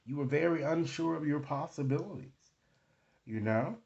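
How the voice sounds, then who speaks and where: A man hosting an improvised tarot reading, speaking to viewers in halting phrases and often checking in with short tag questions. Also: on the high side, gravelly, a little mellow, muffled recording. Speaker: tarot card reader, online host.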